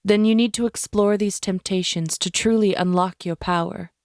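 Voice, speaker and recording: A woman playing a formal, protective witch who leads a coven in an audio drama, speaking with measured authority. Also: medium pitch, steady tone, a little mellow, no room sound, clean studio sound, lightly acted. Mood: solemn instruction. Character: stern, formal female coven leader, protective and wise.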